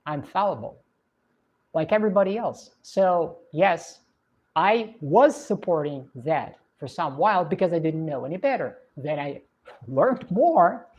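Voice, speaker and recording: A woman's voice: fairly low pitch, animated. Russian woman musing on a livestream. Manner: reflective, unhurried, many fillers. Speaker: livestream host. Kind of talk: casual livestream chat reading viewer comments. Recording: dull lo-fi stream audio.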